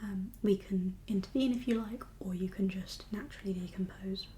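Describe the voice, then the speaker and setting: A woman whispering an ASMR medical roleplay as a kindly doctor, gentle and reassuring in an unhurried, professional way. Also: mid-range voice, fairly even intonation, a little hiss or hum. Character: kindly doctor for undead patients. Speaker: ASMR performer playing a doctor.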